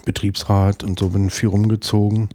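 A middle-aged man with a Berlin accent, guesting on a podcast and talking in a thoughtful, hesitant way with many pauses and restarts. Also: lowish voice, little pitch movement, warm tone. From West Berlin, Germany. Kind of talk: casual interview conversation.

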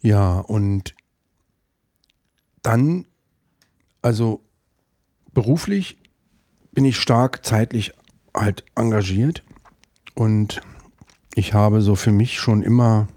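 A middle-aged man from Berlin, with a clear Berlin accent, speaks as a guest on a podcast. He talks thoughtfully, pausing and restarting as he gathers his words. His voice sits fairly low and sounds warm and mellow, and the recording is dry and close. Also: dry recording. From West Berlin, Germany. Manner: thoughtful, hesitant, with frequent fillers and restarts. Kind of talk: casual interview conversation.

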